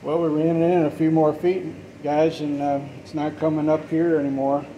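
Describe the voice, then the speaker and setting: Male plumber, casual how-to talk from a body-worn camera, even delivery, noisy basement. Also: fairly high pitch, moderate pace, dull audio. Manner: relaxed, explanatory, trails off with fillers. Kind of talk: casual how-to commentary while working. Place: basement utility area, indoors.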